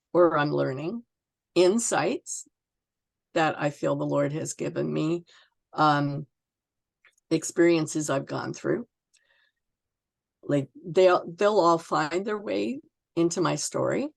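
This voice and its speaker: A woman with a low voice and a gravelly creak speaks as a guest author in an interview. She is reflective and halting, pausing to find her words in a close, dry recording. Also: no echo. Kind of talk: conversational interview answers.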